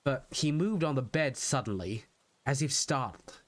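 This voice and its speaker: A man acts out an unhinged murderer who proudly insists on his sanity. His medium-pitched voice is theatrical and deliberate, leaning on pauses and emphatic repetition. It is a Gothic horror monologue, recorded very dry. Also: lively intonation, dry recording, lightly acted. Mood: tense, sly. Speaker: VTuber practicing voice acting.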